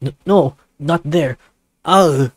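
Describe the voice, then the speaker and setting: Brief: man playing a young tiger swordsman, formal and heroic, voice pushed up and loud. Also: a little high, takes it slowly, no echo, studio quality, pitched above the performer's own voice. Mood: distressed. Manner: formal, strained, lofty heroic speech.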